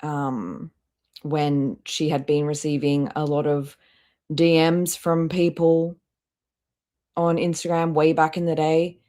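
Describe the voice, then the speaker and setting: A woman's voice: sits low, flat delivery, slow, warm tone. A woman hosting a live stream with casual, blunt, critical reaction commentary and some swearing.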